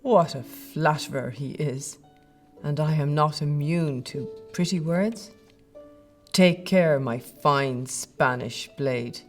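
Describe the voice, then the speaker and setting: An actress playing a chieftain's lady in an audio play, arch, playful and haughty. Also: low-pitched. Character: fine Irish chieftain's lady, teasing, imperious, flirtatious.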